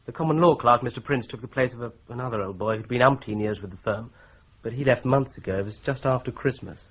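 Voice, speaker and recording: Polite young solicitor, careful, precise, husky, dull old radio sound. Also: male voice, mid-range voice, fast, a bit bright. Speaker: solicitor at the firm. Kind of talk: radio drama acting.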